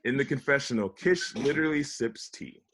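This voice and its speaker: A man's voice: mid-range voice, moderate pace, fairly bright tone, a little hiss or hum. Man narrating script stage directions. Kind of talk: scripted narration at a table read.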